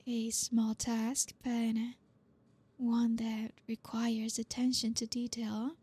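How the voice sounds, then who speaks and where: Slow, breathy, teasing female boss, acted by a woman. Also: a little high, monotone. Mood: softly commanding. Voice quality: breathy, soft. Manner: slow, deliberate, teasing, softly commanding with breathy hushed phrases. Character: dominant, teasing, flirty female boss, coolly commanding. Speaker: ASMR roleplay performer. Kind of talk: ASMR roleplay, close-mic soft speech. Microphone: close microphone, dry.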